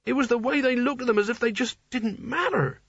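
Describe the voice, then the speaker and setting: A man's voice: extremely high pitch, a little fast, a bit bright, breathy edge, no echo, muffled recording, voice pushed up, heavily acted. A man voicing a naive, earnest, indignant teenage boy in a storybook, hesitant and protesting. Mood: shaken, indignant.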